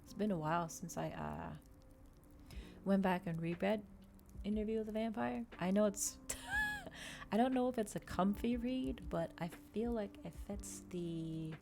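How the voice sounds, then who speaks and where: A woman streamer doing an ASMR stream, reading a book and chatting casually to listeners. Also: lowish voice. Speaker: VTuber streamer, reader.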